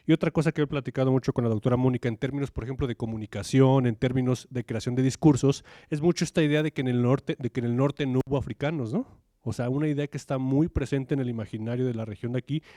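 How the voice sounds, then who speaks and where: Mellow-voiced Mexican man lecturing. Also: medium pitch, fast, no echo, clean studio sound. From Veracruz, Mexico. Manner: didactic, repeats phrases for emphasis. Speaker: academic lecturer. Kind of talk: conference talk, informal explanation with anecdotes.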